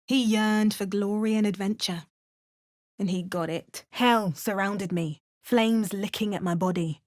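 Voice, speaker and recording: An actress voicing a sardonic female adventurer in a game, delivering dry, sarcastic quips and calm narration. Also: medium pitch, measured pace, no room sound, natural voice. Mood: wry. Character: sardonic adult female adventurer, wry and unflappable. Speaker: player character voice actor.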